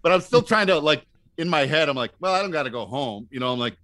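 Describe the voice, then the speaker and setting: A man's voice: a little high, expressive, fast talker, bright, forceful tone, no room sound. Easygoing man in a dry, close recording. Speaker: podcast guest telling stories. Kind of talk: casual anecdotal chat.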